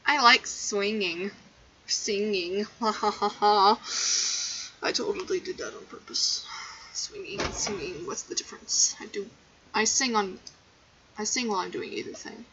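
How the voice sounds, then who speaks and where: A woman's voice: mid-range voice, a little slow, pushed and bright, breathy edge, highs cut off. A young woman chatting casually on a game livestream in a playful, goofy way, recorded in her bedroom. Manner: playful, goofy, breaks into song. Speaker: game streamer.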